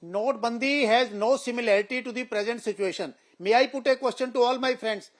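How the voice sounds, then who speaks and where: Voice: mid-range voice, a little fast. Debate guest, firm rebuttal, rhetorical, mid-range voice. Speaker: panel guest.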